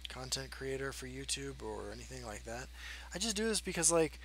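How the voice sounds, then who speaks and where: Bright-voiced man chatting casually. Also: mid-range voice, lively intonation, brisk, a little hiss or hum. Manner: rambling, frank, many fillers. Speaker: channel host. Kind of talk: casual Q&A chat.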